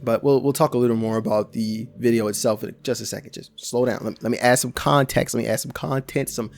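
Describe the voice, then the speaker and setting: A young man with a gravelly, creaky voice talks through a horror series in casual, rambling commentary. He often restarts phrases and drops slang. His pitch sits in an ordinary mid range. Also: fairly even intonation, brisk. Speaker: YouTube commentator.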